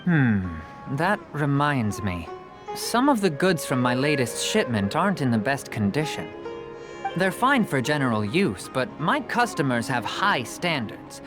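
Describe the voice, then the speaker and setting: A man's voice: mid-range voice, very animated, measured pace, over music. An actor playing a jovial, persuasive, talkative merchant with a theatrical salesman's manner in an English game dub. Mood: breezy, generous. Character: glib, jovial travelling merchant man, a persuasive storyteller.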